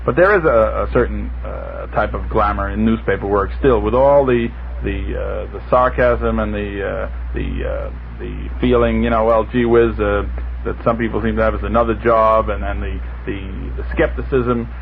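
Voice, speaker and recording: A man's voice: on the low side, expressive, normal speed, creaky, narrow, tinny audio. A man talking in an unscripted radio panel discussion as a reporter guest, hesitant and often stammering as he thinks aloud. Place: radio studio. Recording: noisy band-limited 1960s radio broadcast recording.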